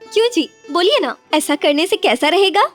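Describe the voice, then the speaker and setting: A woman voicing a resourceful young village wife in an animated story, coaxing and gently persuasive. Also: extremely high pitch, moderate pace, faint music behind, exaggerated voice. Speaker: wife character. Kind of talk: animated cartoon dubbing.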